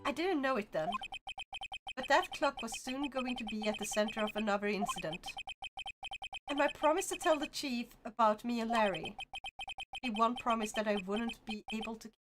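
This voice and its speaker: A woman's voice: a little high, moderate pace, natural voice. A woman voicing a young male rookie defense lawyer who reflectively narrates his story, with game music behind her.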